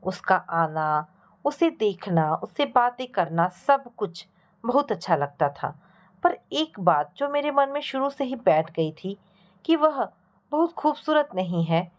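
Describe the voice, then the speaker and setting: A woman reading a scripted first-person story as a narrator, in a measured storytelling way. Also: medium pitch, sing-song, lo-fi sound.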